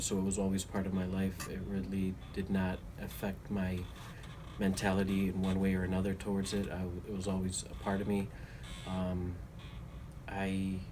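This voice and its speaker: Man, 30s, Ohio-raised interviewee: very low, monotone, measured and earnest, noisy recording. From Toledo, Ohio, US. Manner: measured, earnest, some hesitations. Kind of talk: reflective interview answers. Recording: steady background noise.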